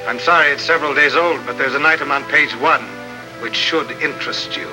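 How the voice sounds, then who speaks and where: An actor playing a coldly courteous, menacing villain chieftain in a 1950s radio adventure, speaking in formal, measured sentences. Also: male voice, a little high, lightly acted, poor audio. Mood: polite menace. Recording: old 1950s radio recording, loud music.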